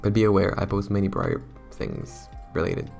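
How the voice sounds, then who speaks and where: Young man with a hoarse, gravelly voice. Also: lowish voice, very animated, normal speed, a little mellow, soft background music. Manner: chatty, rambling, self-conscious. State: hoarse, losing his voice. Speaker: YouTuber. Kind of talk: casual talk to camera.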